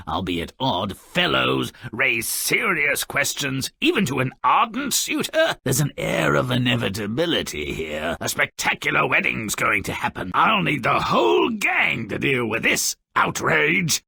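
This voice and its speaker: A man voicing a sardonic, grotesque little dwarf storyteller in a video game, gleefully malicious and melodramatic, with a raspy, gravelly voice and a sneering, mocking delivery. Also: medium pitch, pushed and bright, no room sound, clean studio sound, exaggerated voice. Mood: rallying, gleeful. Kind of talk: theatrical video game character narration.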